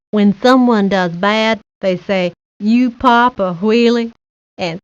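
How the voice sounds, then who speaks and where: A woman voicing a good-natured, slow-witted boy with a simple, dopey manner, for a cartoon video game. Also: mid-range voice, smooth, no room sound, natural voice. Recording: dull lo-fi 1990s game audio.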